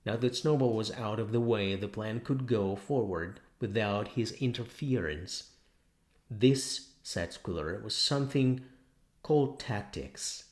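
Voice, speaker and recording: Male audiobook narrator, lowish pitch, scripted literary storytelling. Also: unhurried, darkish tone.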